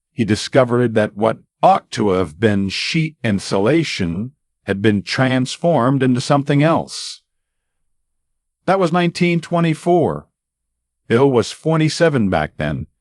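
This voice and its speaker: A man narrating a scripted true story in radio style, with dramatic, deliberate phrasing and suspenseful pauses. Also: lowish voice, dry recording, studio quality.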